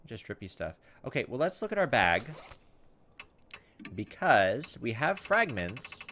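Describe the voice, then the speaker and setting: A man hosts a game playthrough in an average-pitched voice. He moves between reading text aloud and casual, muttered commentary. Noise and game ambience sit behind his voice. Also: a little slow. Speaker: let's play host. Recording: steady background noise over the voice.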